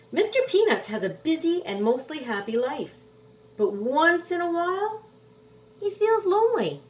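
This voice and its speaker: A woman reading a children's storybook aloud expressively, drawing out words for emphasis. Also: mid-range voice. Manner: animated, drawn-out words for emphasis. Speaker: storybook reader. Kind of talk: expressive children's picture book read-aloud.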